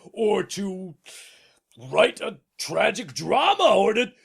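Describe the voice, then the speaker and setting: Proud, self-important old king for a storybook tale: raspy, bright and forceful, slow and grand. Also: sits high, heavily acted. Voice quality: gravelly, raspy. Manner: pompous, imperious, theatrical delivery. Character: proud, pompous old king of a tiny planet. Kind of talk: theatrical storybook dramatization.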